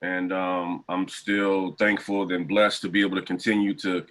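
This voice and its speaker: Laid-back man hosting a podcast, low voice. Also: moderate pace. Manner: laid-back, relaxed slang. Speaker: podcast host. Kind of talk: casual interview over video call. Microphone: video call.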